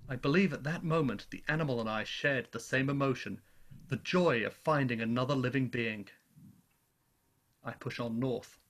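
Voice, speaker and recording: A man's voice: medium pitch, a bit bright, close to an everyday voice. Solemn, weary male survivor, played by an actor. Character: weary, lonely male survivor of a Martian invasion. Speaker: actor in a radio play. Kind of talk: dramatic radio play narration and dialogue.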